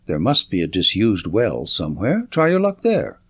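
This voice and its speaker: A man's voice: fairly low pitch, huge pitch swings, moderate pace, husky, full character voice. A man voicing a confident, commanding detective in an audiobook, speaking in an assured, articulate, lecturing way. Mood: brisk, assured.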